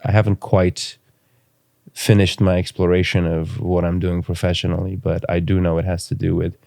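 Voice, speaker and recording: A man from Romania, a native Romanian speaker, speaking reflectively as an interview guest and pausing thoughtfully to choose his words. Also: low voice, level tone, creaky, dark tone, dry recording, clean studio sound. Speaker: podcast guest, filmmaker.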